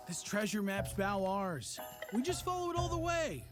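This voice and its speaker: An actress playing a bossy, dismissive treasure hunter in game trailer dialogue. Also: female voice, medium pitch, very animated, a little slow. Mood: bossy confidence. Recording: very loud music over game dialogue.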